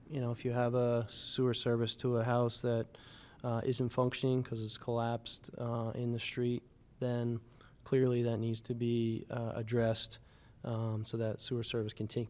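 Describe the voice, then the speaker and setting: Flat-voiced man explaining city policy. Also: medium pitch, smooth. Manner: measured, many fillers, matter-of-fact. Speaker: city public works official. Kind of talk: explaining at a public meeting. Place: city board meeting room.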